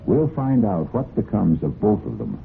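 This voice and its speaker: Low, animated male radio mystery host. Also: moderate pace, gravelly. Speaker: host and narrator. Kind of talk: old-time radio mystery narration, scripted. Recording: muffled old radio broadcast with music. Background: music.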